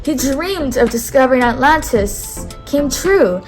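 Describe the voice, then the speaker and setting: A woman reads a picture book aloud with a high voice. She has a teacherly manner and stops now and then to have the listener repeat key words. Soft music plays faintly behind her. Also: expressive, measured pace. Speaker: read-aloud host.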